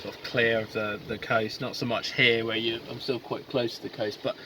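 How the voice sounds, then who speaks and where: Solo sailor vlog voice: man, mid pitch, halting and casual, recorded outdoors at sea with clear wind and water noise. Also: little pitch movement, pushed and bright, low-quality recording. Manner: halting, lots of ums and restarts. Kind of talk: casual video diary. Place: outdoors on a small sailboat at sea. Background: wind, water and boat sounds.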